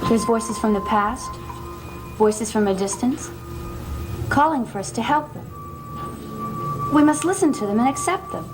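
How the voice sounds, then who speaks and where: A mysterious young woman with a high, swooping voice. She is aloof and self-possessed, speaking in the cool, enigmatic style of an old gothic TV soap. Music plays clearly behind her. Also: huge pitch swings, measured pace, long pauses, heavily acted, rough recording. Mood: eerie, entranced. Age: young adult. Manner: cool, enigmatic, declining politely. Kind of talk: gothic television soap opera acting. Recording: old TV soundtrack with organ music.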